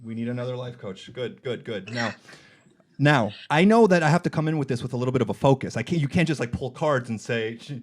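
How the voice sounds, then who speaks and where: A man's voice: mid-range voice, animated, fast talker, a little hiss or hum. Wry man hosting a comedy podcast. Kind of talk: joking casual interview.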